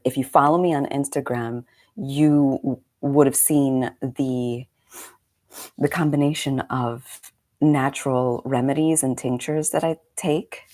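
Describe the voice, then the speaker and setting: Slow, very low-voiced congested woman. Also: dark tone. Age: 40s. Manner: chatty and rambling, with friendly asides. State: slightly congested. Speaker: meditation coach. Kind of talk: casual live-stream chat.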